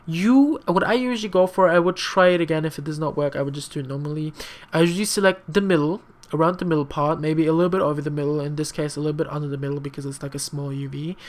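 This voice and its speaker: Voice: medium pitch, fast. A young adult whose first language is not English, talking through a casual screen-recorded tutorial in a rambling, chatty way, with many fillers and frequent apologies. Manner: frank, slightly sassy asides. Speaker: tutorial maker.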